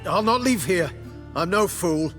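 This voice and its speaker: A woman's voice: fairly low pitch, animated, unhurried, fairly bright tone, faint music behind. Frightened, swooping-voiced refugee woman. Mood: frightened, defiant. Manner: agitated, defiant. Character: frightened, stubborn civilian refugee. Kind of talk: video game voice acting.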